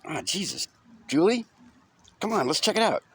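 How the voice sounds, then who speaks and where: Male narrator as flustered father in a storybook memoir; higher pitch, curt, exasperated, kind underneath. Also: very animated, normal speed, fairly bright tone, slightly rough, exaggerated voice. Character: flustered, reluctant father, gruff but caring. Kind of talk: acted dialogue in an audiobook memoir.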